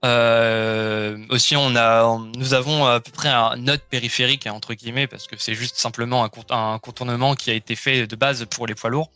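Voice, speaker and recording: A man's voice: medium pitch, steady tone, moderate pace, few pauses, pushed and bright, clear, resonant tone, no room sound, soft background music. A man taking part as a guest in a casual chat, hesitant and hunting for words as he describes things. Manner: hesitant, lots of "euh" and restarts.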